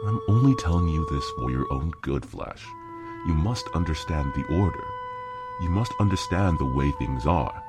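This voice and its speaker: A man's voice: rumbling low, lively intonation, normal speed, over loud music. A man playing a stern, proud pony father and guard veteran, lecturing emphatically in a fan-made audio drama. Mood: earnest.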